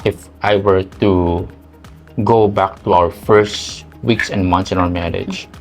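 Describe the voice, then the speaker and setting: A man's voice: low-pitched, steady tone, slow pace, soft background music. A man with a Filipino accent giving casual, reflective, faith-based talk as a podcast co-host, mixing English with Tagalog.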